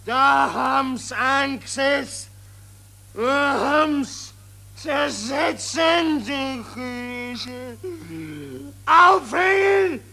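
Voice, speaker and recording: An actor playing a hysterical, bitter Austrian army officer in a theatrical radio drama, shouting and sputtering in halting repetitions. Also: male voice, very high voice, vocal fry, exaggerated voice.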